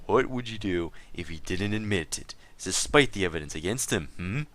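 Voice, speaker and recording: A man playing a smug, insistent accuser in a dramatic reading of a gaming feud, measured and condescending. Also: low-pitched, lively intonation, fairly bright tone.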